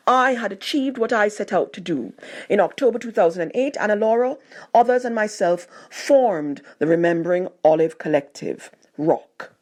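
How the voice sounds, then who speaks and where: Woman reading a memoir in measured phrases. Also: medium pitch, darkish tone. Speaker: narrator reading a written tribute. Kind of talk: scripted narration of a personal memoir.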